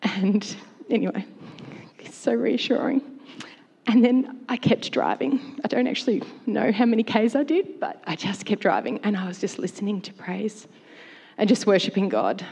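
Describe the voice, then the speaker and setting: A woman's voice: mid-range voice, expressive, moderate pace, gravelly, darkish tone, breathy edge. A woman, a church worship leader, candidly telling a personal story on a panel. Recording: long hall reverb.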